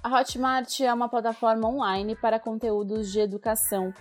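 A woman reporting and narrating a scripted radio news feature, measured, and speaking straight to the listener. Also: on the high side, flat delivery, soft background music. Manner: measured, addresses the listener directly.

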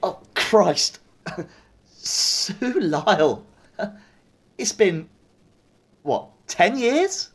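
A man with an English accent playing a conflicted militia soldier in an audio drama, halting, stammering and prone to swearing. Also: high-pitched, very animated, fairly bright tone, slightly rough, heavily acted. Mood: amused, flustered. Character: conflicted young male militia soldier, awkward then desperate. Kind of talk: naturalistic audio drama acting.

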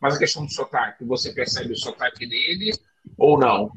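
A man's voice: medium pitch, animated, fairly quick, a bit bright, low-quality recording. Reflective male teacher, faint noise. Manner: reflective, frequent tag questions. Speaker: teacher, podcast guest. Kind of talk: casual podcast chat.

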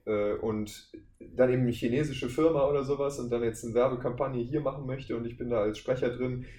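A man with a German accent and a medium-pitched voice talks informally as an interview guest. He relates anecdotes in a casual, conversational style. The room adds noticeable echo, with faint noise underneath. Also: steady tone, fairly quick, mellow. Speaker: voice actor, podcast guest.

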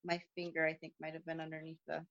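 A woman's voice: completely flat delivery, quick pace, fairly bright tone. A young woman, a competitive lifter, chatting loosely in an interview over the phone, with lots of fillers and restarts and a voice that has lost its upper register. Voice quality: lost her upper register, no longer high. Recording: muffled phone-call audio.

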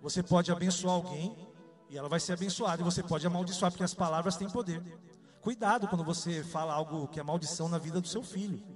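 A man's voice: sits high, steady tone, quick pace, faint music behind. A man from Salvador, Bahia, preaching a conversational sermon and often asking his listeners questions. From Salvador, Bahia, Brazil. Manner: conversational, asks the audience questions.

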